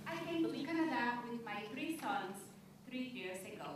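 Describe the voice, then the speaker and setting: A woman who trained as an economist describing her past work in a measured, listing way. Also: on the high side, animated. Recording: echoey room, distant mic, noisy.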